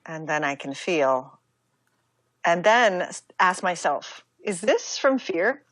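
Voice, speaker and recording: An American woman speaking as an interview guest, talking reflectively in a halting, casual way with many asides. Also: on the low side, very animated, fairly bright tone, no echo. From United States. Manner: reflective, halting, with fillers and self-quoting asides. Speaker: interview guest, yoga teacher and author.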